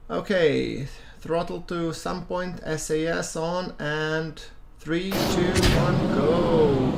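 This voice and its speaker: A man giving relaxed, chatty commentary over a space-game playthrough, with a soothing voice and self-deprecating asides. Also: fairly high pitch, vocal fry. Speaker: gameplay commentator. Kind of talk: casual let's-play commentary.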